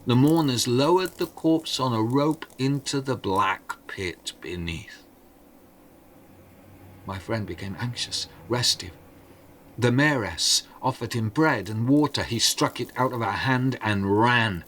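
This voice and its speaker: A man narrating as an oral storyteller, theatrical and deliberate, in short measured phrases. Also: mid-range voice, a bit bright, slight background noise. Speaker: storyteller, podcast host.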